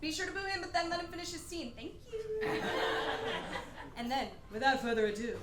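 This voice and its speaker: Exuberant, very high-pitched woman hosting live. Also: sing-song, a little fast, very noisy. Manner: playful, exuberant, theatrical. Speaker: live show host. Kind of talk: hyping up a live audience. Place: tavern stage before a live audience. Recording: roomy live venue with music, crowd.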